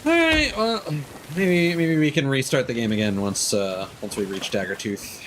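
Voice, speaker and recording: A man streams a video game with casual, off-the-cuff commentary. His mid-range voice swings widely in pitch as he grumbles and curses. A steady wash of rain-like noise sits clearly behind him. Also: normal speed. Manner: frequent swearing, exasperated grumbling. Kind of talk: live gameplay commentary, casual chat.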